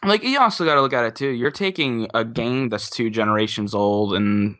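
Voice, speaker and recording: A man co-hosting a podcast in loose, casual chat. His mid-pitched voice has a very bright, forceful edge to it. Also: a little fast.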